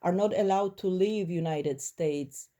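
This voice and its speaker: A woman's voice: lowish voice, unhurried, dark tone. A woman in her forties from Poland, speaking English with a Polish accent, giving earnest, reflective answers in an interview. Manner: earnest, reflective, slightly non-native phrasing. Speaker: interviewee, first-generation immigrant.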